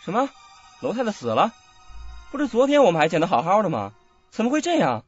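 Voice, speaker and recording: An actor playing a cold, indignant young husband in a radio drama, stern, articulate and accusing. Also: male voice, high voice, wildly swooping pitch, quick pace, slightly rough, exaggerated voice. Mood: shocked. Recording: dull lo-fi audio, faint music.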